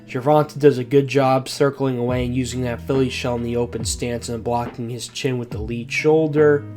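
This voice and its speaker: A man's voice: mid-range voice, steady tone, normal speed, a little mellow, faint music behind. A man with a bad cold, sounding congested, casually narrating a boxing fight breakdown as host of his channel, with slangy asides and loose restarts. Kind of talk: voice-over fight breakdown commentary.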